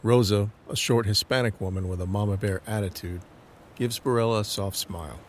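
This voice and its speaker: A man's voice: lowish voice, fairly quick, a little hiss or hum. A man narrating a scripted audio drama, reading scene descriptions as the narrator.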